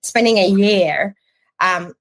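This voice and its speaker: A woman in her forties, from Iran, telling her story as a podcast guest, lively and quick to restart her sentences. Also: medium pitch, poor audio. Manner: animated storytelling with restarts and casual swearing. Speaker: podcast guest, agency creative chief. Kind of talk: casual interview chat.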